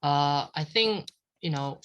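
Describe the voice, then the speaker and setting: A man's voice: on the high side, slow, a bit bright, clear, resonant tone, muffled recording. A young man hosting an interview in a casual, loose way, with many fillers. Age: young adult. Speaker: interview host, student.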